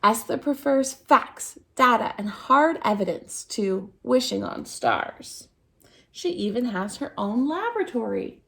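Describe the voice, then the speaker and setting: A woman reading a children's picture book aloud as a storytime host, expressively, with theatrical, drawn-out emphasis on key words. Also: fairly high pitch, lively intonation, creaky, pushed and bright. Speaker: storytime reader at a dance centre.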